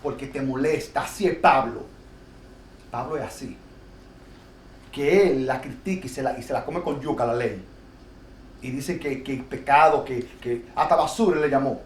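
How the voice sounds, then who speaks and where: A man's voice: medium pitch, moderate pace, long pauses. A Dominican man with a Dominican Spanish accent, preaching his views animatedly in an informal Bible discussion, often restarting his sentences. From Dominican Republic. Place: New York. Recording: echoey room, faint noise.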